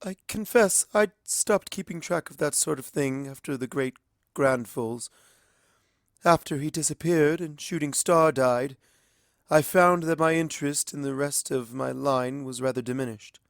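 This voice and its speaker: Casting: formal, sorrowful immortal pony princess for storybook audio, played by a man, pitched up, measured delivery. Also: creaky, no echo, clean studio sound. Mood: somber, formal.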